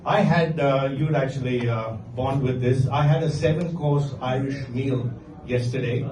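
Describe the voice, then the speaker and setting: A middle-aged man from India with an Indian accent, performing a stand-up comedy set with a dry, deadpan delivery. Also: mid-range voice, unhurried, crowd noise. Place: comedy venue with live audience. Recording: echoey room, distant mic, faint ambience.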